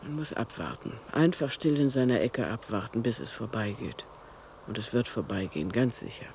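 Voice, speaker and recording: A woman's voice: rumbling low, animated, normal speed, darkish tone, slight background noise. An actress playing a placid, fatalistic, hard-of-hearing mother in a radio drama, musing and soothing in a repetitive way. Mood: soothing, resigned.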